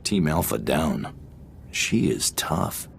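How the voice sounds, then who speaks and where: Slow, gravelly hired thug. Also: male voice, extremely low pitch, big pitch swings, noisy background, full character voice. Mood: grim. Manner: terse. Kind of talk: video game acting.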